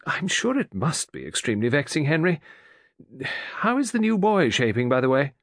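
A man voicing a large, formidable woman who calmly soothes her brother, loyal and dry. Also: fairly high pitch, moderate pace, dry recording, voice pushed up, exaggerated voice. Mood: placating. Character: large, formidable, calm woman keeping house.

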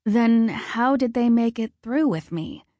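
A woman's voice: a little high, animated, a little slow, warm tone, no echo, clean studio sound, pitched above the performer's own voice. A woman voicing a wary, sarcastic young heroine who talks in a blunt, skeptical way.

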